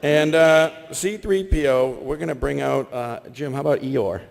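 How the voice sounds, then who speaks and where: A man's voice: on the high side, moderate pace, vocal fry, noisy background. A man hosting a live stage show, casually announcing roles to performers and audience. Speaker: host. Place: convention stage before an audience. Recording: echoey convention hall.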